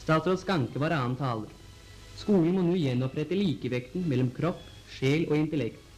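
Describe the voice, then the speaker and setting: A man reading scripted 1940s newsreel narration in a formal, measured announcer style on an old film soundtrack. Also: on the high side, a little mellow, faint music behind, rough recording. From Norway.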